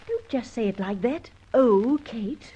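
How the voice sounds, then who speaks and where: High, expressive young woman confidante. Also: slow pace, breathy edge, exaggerated voice. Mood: reproachful. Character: earnest, gently reproachful young woman, adventurer's confidante. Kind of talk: old-time radio drama acting. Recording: dull vintage radio recording.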